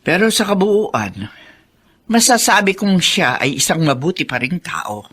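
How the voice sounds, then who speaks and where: A woman's voice: on the low side, very animated, measured pace, a bit bright, husky, full character voice. A woman playing an elderly, earnest, gossipy family friend in a radio drama, speaking frankly. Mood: earnest, confiding. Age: older.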